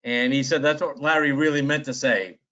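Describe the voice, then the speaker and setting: A man's voice: mid-range voice, fairly even intonation, brisk, a bit bright. A man chairing a town planning board meeting, speaking plainly and moving the agenda along. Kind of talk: meeting discussion. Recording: dull lo-fi local TV audio.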